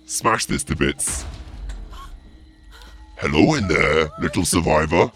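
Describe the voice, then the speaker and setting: A man commentating on a game in a theatrical, mock-menacing, sweary way, his voice pitched down by a voice changer. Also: sing-song, slow, a bit bright, faint music behind. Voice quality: deep, gravelly, through a voice changer. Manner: theatrical mock-menacing taunts mixed with muttering. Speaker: streamer playing a game as the killer.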